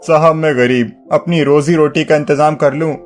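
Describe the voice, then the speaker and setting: An actor playing a humble, honest poor village carpenter, deferential and pleading, in a dramatized moral story. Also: male voice, a little high, steady tone, moderate pace, soft background music, natural voice.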